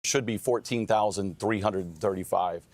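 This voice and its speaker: A man speaking as an aviation official, giving a formal interview statement in careful, measured phrasing with some hesitation. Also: fairly low pitch, darkish tone, no echo. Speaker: aviation official speaking for air traffic controllers.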